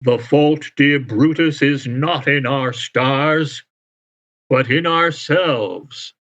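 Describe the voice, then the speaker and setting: A man voicing a warm, poetic, stargazing father in a storybook reading, tender and declamatory. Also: mid-range voice, very slow, muffled recording. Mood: reverent, declaiming.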